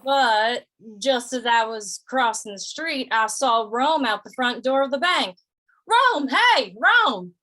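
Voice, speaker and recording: A woman's voice: fairly high pitch, animated, takes it slowly, pushed and bright, heavily acted. A woman playing an easygoing wandering gunslinger of the Old West, narrating a radio western in a folksy, conversational way. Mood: hailing.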